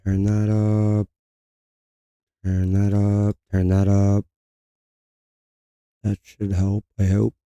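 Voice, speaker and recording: A man's voice: on the low side, completely flat delivery, extremely slow, pauses often, warm tone, clean tone, no echo, highs cut off. A man streaming a video game in casual live chat, with his voice giving out as he talks. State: losing his voice.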